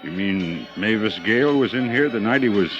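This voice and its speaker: Evasive handyman from a radio crime drama, folksy drawl, lowish voice, muffled old audio, music below. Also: expressive, normal speed, a bit bright. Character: slow, evasive male arcade handyman. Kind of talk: 1950s radio drama acting. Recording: dull old radio transcription.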